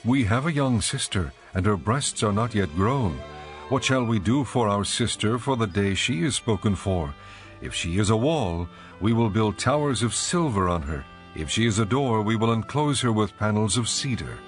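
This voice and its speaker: Audio drama bridegroom, male actor: low register, reverent and poetic, adoring, scripture recitation with light music underneath. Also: animated, moderate pace, lightly acted. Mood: earnest. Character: adoring male lover praising his bride, ancient royal poetry.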